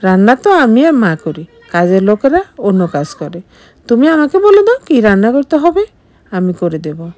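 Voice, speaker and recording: Woman acting a heartbroken, gentle young wife. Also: mid-range voice, big pitch swings, normal speed, no echo, lightly acted. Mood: gently helpful.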